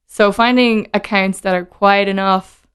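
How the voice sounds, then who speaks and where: Irish woman from Dublin, mid-pitched, smooth clear voice, chatty guest. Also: fairly even intonation, measured pace, fairly bright tone. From Dublin, Ireland. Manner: chatty, laughs easily. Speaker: guest, whiskey brand ambassador. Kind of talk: casual interview chat.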